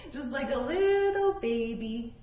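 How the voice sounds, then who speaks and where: A woman coaches young children through yoga with playful encouragement. Her pitch is high and very lively. She is heard from a distance in a roomy, echoing space. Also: slow. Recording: echoey room, distant microphone.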